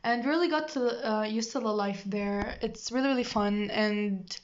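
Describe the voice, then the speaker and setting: A woman's voice: mid-range voice, normal speed. A teenage girl co-hosting a casual podcast chat, a native Arabic speaker talking in English, rambling and hesitant with lots of ums. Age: teens. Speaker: podcast co-host. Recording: dull lo-fi audio.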